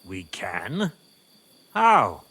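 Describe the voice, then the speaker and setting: An actor playing a middle-aged medieval nobleman and father, speaking in a measured, wry and wary manner for a video game. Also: fairly low pitch, huge pitch swings, bright, forceful tone, breathy edge, full character voice. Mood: skeptical. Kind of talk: video game cutscene acting.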